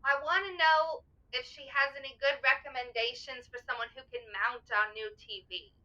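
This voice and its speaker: A woman's voice: high voice, fairly even intonation, normal speed, pushed and bright, lo-fi sound. An actress playing a blunt, homely wife in her eighties in a comic audio drama. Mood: curious, matter-of-fact.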